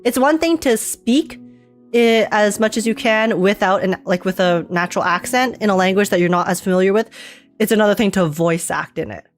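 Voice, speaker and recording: Role: live streamer. Sex: woman. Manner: rambling, filler-heavy chat with frequent laughter. Pitch: medium. Backing: faint music. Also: fairly quick. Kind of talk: live game reaction chat.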